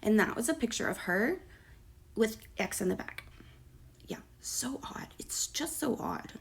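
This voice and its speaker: Creaky-voiced woman, sarcastic commentary. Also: on the high side, lively intonation, a little slow, in a whisper. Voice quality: creaky, gravelly. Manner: sarcastic, mocking asides. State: losing her voice. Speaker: commentary creator. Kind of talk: casual gossip commentary reading posts aloud.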